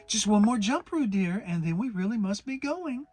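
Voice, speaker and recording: A storybook reader voicing a doting, brisk mother kangaroo with a motherly singsong manner. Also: mid-range voice, animated, darkish tone, soft background music, voice pushed up, natural voice. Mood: fond, brisk. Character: doting, brisk mother kangaroo, fussing over her baby. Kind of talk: dramatic children's storybook reading.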